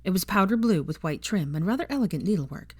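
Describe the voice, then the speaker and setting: A woman's voice: on the low side, lively intonation, fast talker, husky, dry recording, studio quality. A woman reading fiction aloud as an audiobook narrator, delivering scripted story narration.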